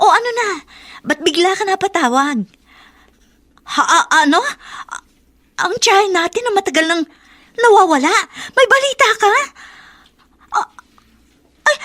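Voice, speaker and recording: An actress with a Filipino accent playing an excitable woman in a radio drama, talking in halting exclamations and questions. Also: very high voice, exaggerated voice. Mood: chatty, surprised. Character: excitable woman shocked by family news.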